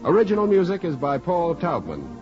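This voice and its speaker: Casting: male vintage radio announcer, lightish expressive voice, music behind, muffled old recording. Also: fairly high pitch, a little fast.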